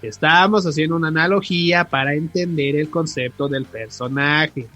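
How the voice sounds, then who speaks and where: Casting: Mexican male podcast co-host, slightly high husky voice, emphatic and slangy, music underneath. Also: normal speed, sharp tone. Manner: emphatic, repeats words for stress. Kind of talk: casual, slangy podcast chat. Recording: music behind the voice.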